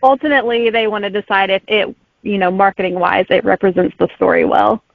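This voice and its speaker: A woman's voice: medium pitch, fairly even intonation, a little fast, vocal fry, no room sound. Easygoing woman author on a tinny line. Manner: easygoing, with filler "um"s. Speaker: author guest. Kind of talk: casual interview answers. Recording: tinny phone-like remote line.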